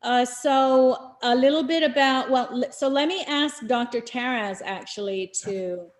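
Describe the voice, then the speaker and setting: A woman's voice: high-pitched, measured pace. A woman co-hosting an online webinar as a business professor, talking casually with many fillers over a video call. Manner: chatty, many fillers and restarts.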